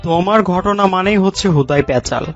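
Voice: mid-range voice, lively intonation, fairly quick, dry recording, natural voice. A performer voicing a skeptical, teasing cartoon listener who questions and interrupts with sarcasm. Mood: curious, prodding. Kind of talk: comic cartoon dialogue. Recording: dull lo-fi audio, clear music behind.

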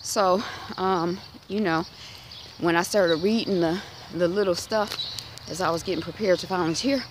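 A woman's voice: lowish voice, moderate pace. A woman in her thirties talks casually into a phone held at arm's length while walking down a residential street, musing aloud with many fillers and restarts. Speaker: vlogger. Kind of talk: casual walk-and-talk monologue. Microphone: phone camera at arm's length. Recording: outdoor street noise behind.

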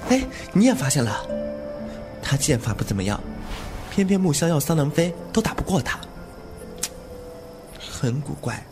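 Man acting a cheeky young swordsman, lively pitch. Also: normal speed, long pauses, over music. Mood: curious. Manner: teasing, flippant. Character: cheeky, glib young male wuxia swordsman. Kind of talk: Chinese martial-arts radio drama acting.

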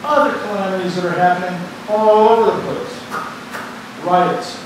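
A man's voice: high-pitched, fairly even intonation, moderate pace. Older man preaching in an echoey church. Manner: dramatic, acts out imagined dialogue, rises to fervent exhortation. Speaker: bishop preaching. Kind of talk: sermon. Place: church sanctuary before the altar. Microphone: distant camera mic. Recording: echoey church from far off, background noise.

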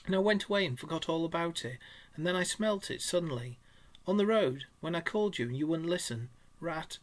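A man's voice: a little high, expressive, a little fast, creaky, pitched above the performer's own voice. A man putting on a tearful, sobbing little mole. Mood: pleading. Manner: sobbing, broken pleading. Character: timid tearful homesick male mole. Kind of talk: storybook reading.

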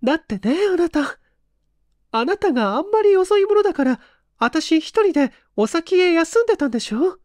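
A frightened wife chatters in a high, pleading voice. Her feminine speech swoops with insistence, as in a radio drama ghost tale. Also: big pitch swings, normal speed, a little mellow, dry recording, clean studio sound. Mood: shaken, insistent.

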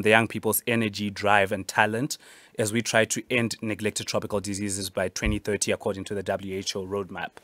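A man's voice: on the low side, a bit bright, no room sound. A young man from Zimbabwe, a junior doctor, answering interview questions earnestly with frequent restarts in a studio. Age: young adult. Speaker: junior doctor, youth advocate.

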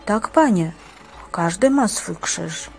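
An earnest, devout believer in a religious play, acted by a woman. The voice sits at a middle pitch and declaims slowly and respectfully. Soft music is faintly behind it. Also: animated, creaky. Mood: earnest, concerned. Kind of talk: dramatic religious stage-play acting.

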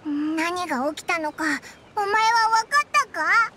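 An actress voicing a tiny, excitable, brash fairy companion in a video game, with a squeaky childlike voice. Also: female voice, measured pace, a little hiss or hum, full character voice. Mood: puzzled. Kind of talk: Japanese video game voice acting.

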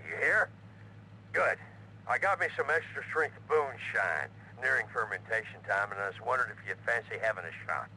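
An actor playing a gruff, boozy middle-aged veteran in a video game, folksy and pushy over a telephone. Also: male voice, mid-range voice, bright, forceful tone, slight background noise, rough recording. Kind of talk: video game cutscene acting.

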